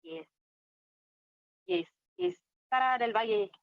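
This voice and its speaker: A woman's voice: a little high, very animated, slow, no echo, dull audio. A woman chatting casually as a podcast host, hesitant and often repeating short phrases. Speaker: host and reader of a storytelling podcast. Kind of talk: casual chat.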